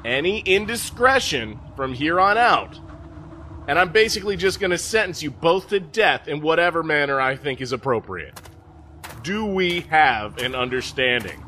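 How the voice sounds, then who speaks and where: A man's voice: a little high, lively intonation, normal speed, sharp tone, slight background noise. A man playing a stern, seasoned spaceship captain in an audio drama, mocking and folksy in his commands. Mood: stern, threatening.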